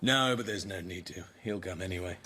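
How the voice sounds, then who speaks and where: An actor playing a well-off, patronising husband in colonial Calcutta in a radio drama, teasing with fond endearments. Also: male voice, low-pitched, fairly quick, bright, forceful tone, husky, exaggerated voice. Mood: contented.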